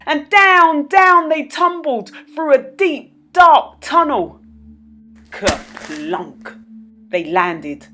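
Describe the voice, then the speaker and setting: A woman narrating a children's story as a storyteller, with dramatic, expressive delivery. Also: high voice, drawn-out words, noisy background. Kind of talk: children's read-aloud narration.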